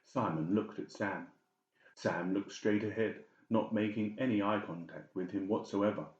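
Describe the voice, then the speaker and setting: A man's voice: on the low side, normal speed, echoey room, highs cut off. A man reading scripted, dramatic narration as an audiobook narrator.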